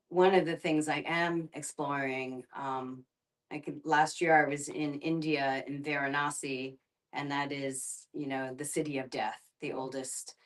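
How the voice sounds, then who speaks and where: A woman with a low voice talks reflectively in a discussion. She picks her words thoughtfully, pausing and restarting, and sits a little away from the microphone. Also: recorded from a distance. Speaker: guest facilitator and documentary filmmaker.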